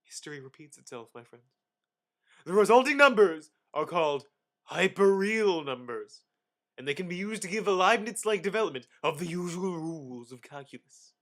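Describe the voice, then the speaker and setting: Amateur male reader, slightly high-pitched, theatrical delivery of scholarly text, pompous swells and dramatic pauses. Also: lively intonation, fairly bright tone. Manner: mock-grandiose, with sudden emphatic swells and dramatic pauses.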